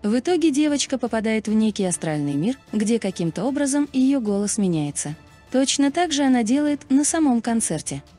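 A woman's voice: on the high side, very animated, brisk, dark tone. A woman reading a scripted explainer narration as a narrator. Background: background music.

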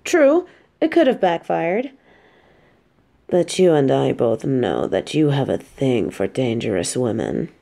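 A woman's voice: a bass voice, sing-song, slow, gravelly, warm tone, heavily acted. A woman playing an affectionate, teasing supervillain girlfriend in an intimate roleplay audio drama, speaking tenderly and playfully.

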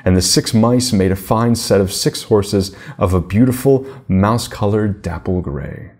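A middle-aged man narrating a children's fairy tale in a measured, deliberate manner. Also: lowish voice, a little mellow. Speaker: storybook reader.

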